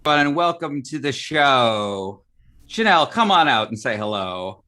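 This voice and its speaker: Middle-aged American male host, very bright, forward voice, informal and enthusiastic. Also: medium pitch, big pitch swings, slow. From Arizona, United States. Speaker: talk show host. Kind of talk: live casual chat show hosting.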